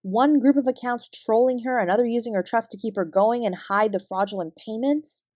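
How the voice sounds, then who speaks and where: A woman with a Canadian accent playing a guarded, weary fraud investigator, giving a clipped, measured account in an audio drama. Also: a little high, darkish tone, no room sound, clean studio sound, natural voice. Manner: measured, clipped report-like delivery. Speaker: investigator being interviewed.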